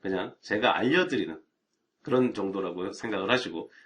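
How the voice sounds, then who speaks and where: A man's voice: fairly low pitch, a little fast, a bit bright. A middle-aged man, an IT networking instructor, talking casually and chattily to viewers on a live stream, with rhetorical asides.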